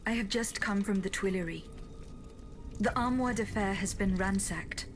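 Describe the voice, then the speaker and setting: A woman voicing a courteous female automaton bodyguard in a video game, speaking in a measured, formal and restrained way. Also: mid-range voice, soft background music, natural voice. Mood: composed, formal. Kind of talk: video game cutscene acting.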